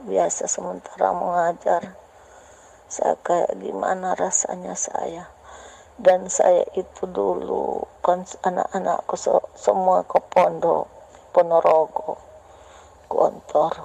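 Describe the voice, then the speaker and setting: A middle-aged woman speaking as a caller asking a spiritual teacher for advice, halting and earnest, pleading as she confides. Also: fairly low pitch, vocal fry, mellow, a little hiss or hum, rough recording. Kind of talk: personal confession and plea.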